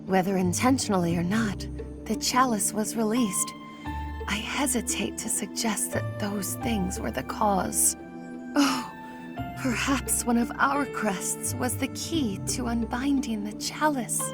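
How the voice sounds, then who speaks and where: A woman's voice: on the high side, a little slow, over music. A woman playing a formal, self-abasing young noblewoman mage in a video game, with ornate, apologetic phrasing. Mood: meek, uncertain. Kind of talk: English video game voice acting.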